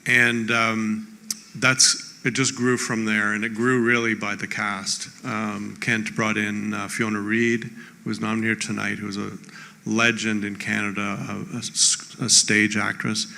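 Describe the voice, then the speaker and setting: A man giving an off-the-cuff acceptance speech in a theatre, halting as he searches for names. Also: lowish voice, level tone, vocal fry, sharp tone. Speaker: award winner, show creator. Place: theatre stage. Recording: echoing theatre hall.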